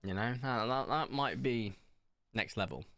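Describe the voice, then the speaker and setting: A man streaming from home, chatting casually in a rambling, self-mocking way and pausing often. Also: mid-range voice, fairly bright tone. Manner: rambling, self-mocking, hesitant with many ums. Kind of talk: casual chat reading viewer comments while playing.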